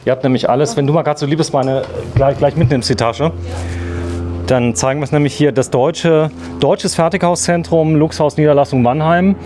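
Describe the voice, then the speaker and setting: A man's voice: fairly high pitch, steady tone, moderate pace, darkish tone. A man presenting an informal, chatty walk-through interview in a show house, with a jokey manner. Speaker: TV presenter touring show homes. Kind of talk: casual interview while walking around. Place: walking around a show house.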